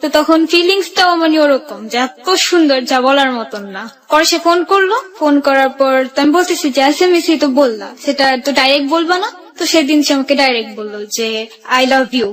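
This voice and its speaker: Female guest, Bangladeshi accent, high voice, fast, conversational personal storytelling. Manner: re-enacts remembered conversations as quoted dialogue. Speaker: guest telling own life story.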